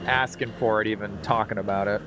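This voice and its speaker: A man's voice: lowish voice, steady tone, a little fast. A middle-aged man chatting casually while streaming a video game, playful and rambling. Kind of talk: casual live gaming commentary and chat. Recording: loud game music behind voice. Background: video game music.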